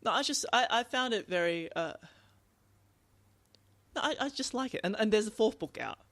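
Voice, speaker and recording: A woman's voice: a little high, animated, moderate pace, fairly bright tone, no room sound. A woman chatting casually about books as a podcast co-host, informal and conversational, often voicing her own thoughts as quotes. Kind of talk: casual book discussion chat.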